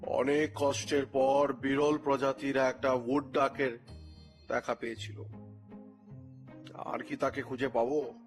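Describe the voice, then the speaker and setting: An actor playing an eccentric old gentleman naturalist in an audio story, speaking in a measured, lamenting, gently pleading way. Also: mid-range voice, level tone, faint music behind. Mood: reproachful, dejected. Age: older. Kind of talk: dramatized audio story dialogue.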